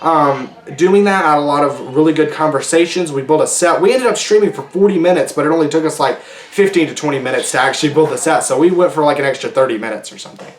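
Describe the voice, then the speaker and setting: A man hosting his own casual solo podcast, rambling and chatty with lots of ums and restarts, his voice going hoarse. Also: on the high side, quick pace, reverberant. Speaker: podcast host.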